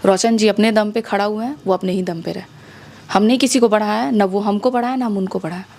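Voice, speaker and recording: A woman's voice: medium pitch, fairly even intonation, fast, vocal fry, a little mellow. A young woman with a Bihari accent speaking as an interview guest, emphatic and insistent, often throwing out rhetorical questions. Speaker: interview guest, music-video actress. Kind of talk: spoken interview, airing grievances.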